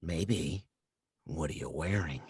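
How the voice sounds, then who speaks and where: A man playing a menacing, seductive phone stalker in a slasher film, with a slow, insinuating manner. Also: rumbling low, darkish tone. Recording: echoey video call.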